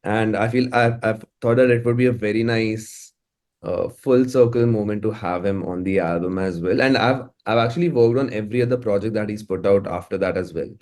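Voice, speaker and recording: A man's voice: on the low side, fairly quick, a little mellow. A man with an Indian accent, chatting casually and effusively as a music producer being interviewed. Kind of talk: casual, conversational interview talk.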